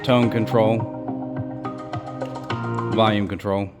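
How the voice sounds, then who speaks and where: A man giving a casual, halting hands-on demonstration as host of a repair channel, rambling as he explains. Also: lowish voice, flat delivery, loud music behind the voice. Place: indoors at home.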